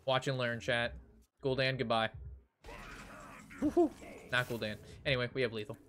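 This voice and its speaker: A man's voice: mid-range voice, steady tone, normal speed, a bit bright, slight background noise. Exasperated, foul-mouthed young male streamer. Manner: profane, exasperated, repeats phrases. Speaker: game streamer. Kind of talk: casual ranting storytelling to stream chat during card game.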